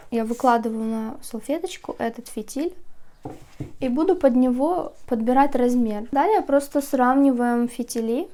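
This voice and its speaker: Young woman, casual vlogger; sleepy morning voice, high-ish and mellow, chatty with soft laughs. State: just woken, slightly rough voice. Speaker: candle maker vlogger. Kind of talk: casual how-to vlog.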